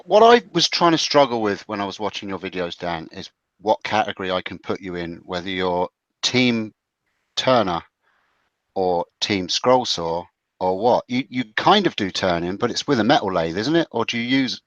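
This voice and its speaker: A man co-hosting a podcast, asking relaxed, halting questions in casual chat. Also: on the low side, no echo, dull audio. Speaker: podcast co-host.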